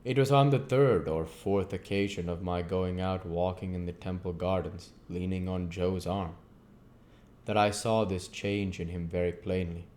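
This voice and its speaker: A man's voice: low voice, steady tone, normal speed, a little mellow, slight background noise. A man reading a classic novel aloud as narrator and host of his own channel.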